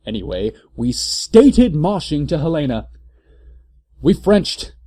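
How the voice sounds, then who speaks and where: A man's voice: medium pitch, dark tone, dry recording. A man with a mid-range voice reads fan fiction aloud as comedy. His narration is theatrical and dotted with incredulous asides, and the recording is very dry. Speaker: comic reader.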